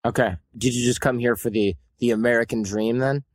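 A man hosting a podcast, reading a brisk, upbeat promo and interviewing a guest. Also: medium pitch, fairly even intonation, no echo, clean studio sound. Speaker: podcast host. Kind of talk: scripted promo read and interview questions.

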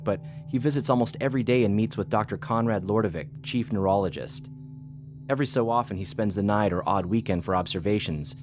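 A man's voice: lowish voice, close to an everyday voice. An actor playing an eager, deferential intelligence analyst giving a thorough briefing to his superior in an audio drama. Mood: matter-of-fact. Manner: earnest, thorough, formal briefing delivery.

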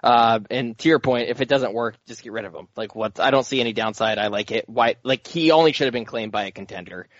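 A man on a casual sports podcast giving opinions in a measured, thinking-aloud way. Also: medium pitch, dry recording, lo-fi sound. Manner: measured, uses "like" and "look".

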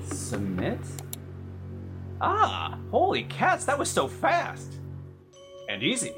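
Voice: mid-range voice, wildly swooping pitch, slow, over music, exaggerated voice. A performer playing a flustered, easily delighted job seeker in a comic advert. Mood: amazed.

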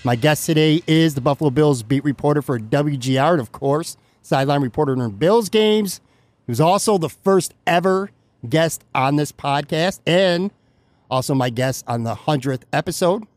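A man's voice: a little high, normal speed, darkish tone, dry recording. A man hosting a podcast interview who asks long, rambling questions in a friendly, casual way. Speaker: podcast host. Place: bar or restaurant.

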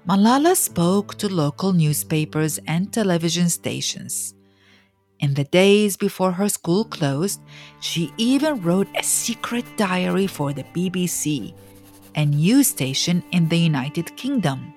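A woman narrates a children's story with a slightly low voice and very lively intonation. She phrases things deliberately, leaving dramatic pauses, with soft music underneath. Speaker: podcast host and storyteller.

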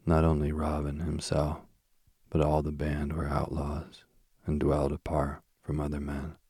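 A man hosting a sleep podcast and reading a bedtime story softly, slowly and soothingly, while he is losing his voice. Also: a bass voice, completely flat delivery, slightly rough, no echo, clean studio sound. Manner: slow, gentle and soothing. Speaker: sleep podcast host and reader. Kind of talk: soft bedtime story narration. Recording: faint music behind.

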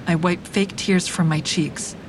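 Over clear rumbling traffic noise, an actress plays a hard-edged woman narrating her own drama. Her voice is on the low side, and her delivery is wry, frank and deliberate, in clipped sentences. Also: fairly even intonation, close to an everyday voice. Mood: calculating, intimate. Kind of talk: scripted first-person dramatic narration.

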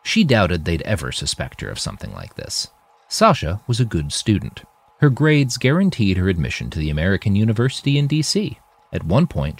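A man reading scripted fiction narration in an expressive, dramatic storytelling manner. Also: sits low, creaky, slightly rough. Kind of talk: scripted audiobook-style narration of a dystopian war novel.

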